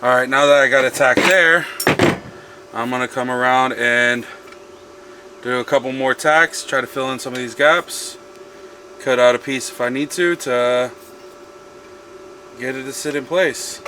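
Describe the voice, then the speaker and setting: A man's voice: mid-range voice, measured pace, long pauses, pushed and bright, slight background noise. Nasal man vlogging from his garage. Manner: casual, mutters while working, swears. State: nasal from a cold. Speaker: car project vlogger. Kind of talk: casual garage vlog. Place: home garage.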